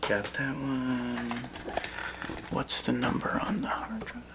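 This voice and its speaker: A man speaking softly and breathily close to the microphone in an ASMR roleplay, playing a laid-back, wry video game store clerk who chats casually with a customer. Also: sing-song, takes it slowly, heavy background noise. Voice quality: breathy whisper and soft low murmur. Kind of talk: ASMR roleplay, one-sided customer chat.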